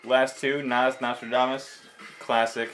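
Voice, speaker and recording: Bright-voiced young guy, casual and enthusiastic. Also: mid-range voice, little pitch movement, a little slow, slight background noise. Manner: slangy, frequent swearing, enthusiastic. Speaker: video host showing a collection. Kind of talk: casual enthusiastic vlog chat.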